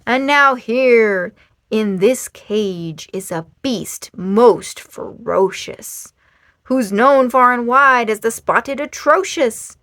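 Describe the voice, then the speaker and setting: A woman reading a rhyming children's book aloud in a theatrical, expressive and playful storytime manner. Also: on the high side, takes it slowly, no room sound, studio quality. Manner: dramatic, expressive, playful emphasis.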